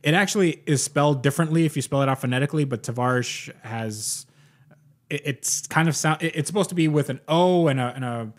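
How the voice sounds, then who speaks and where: A man's voice: on the high side, fairly quick. Rambling Russian-born man, chatty. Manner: rambling, repeats words while searching. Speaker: podcast co-host. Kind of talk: casual chat.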